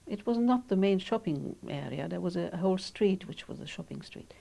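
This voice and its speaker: An older woman with a low, gravelly voice and a mellow, dark tone. She speaks in measured, reflective sentences and pauses often. Her first language is Hungarian, and she is being interviewed about her childhood. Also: lively intonation. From Romania. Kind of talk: oral history interview.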